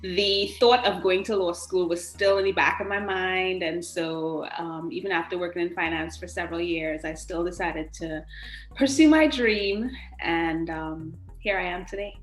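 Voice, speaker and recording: A woman talks in a fairly low voice. She answers as an interview guest in a conversational way, and the sound is roomy and echoey with music. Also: moderate pace. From Trinidad. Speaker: podcast guest, attorney.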